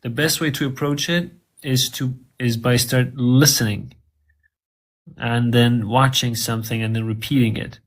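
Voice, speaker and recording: A man's voice: medium pitch, fairly even intonation, a little slow. A man whose first language is Farsi, answering interview questions in English in a hesitant, conversational way with frequent fillers and repeated words.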